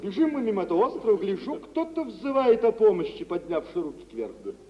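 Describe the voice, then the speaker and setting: An actor playing a boisterous, self-assured gentleman shipowner in theatrical mid-century radio drama, telling things in an expansive, swaggering way. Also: high-pitched, very animated, fairly quick. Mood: boastful, amused. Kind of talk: mid-century Soviet stage acting for radio. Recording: muffled, noisy 1950s radio recording, reverberant hall.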